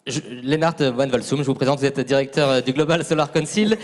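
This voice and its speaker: Male moderator introducing a speaker in a hall. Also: mid-range voice. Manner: brisk, formal introduction. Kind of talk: stage introduction. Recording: echoey conference hall.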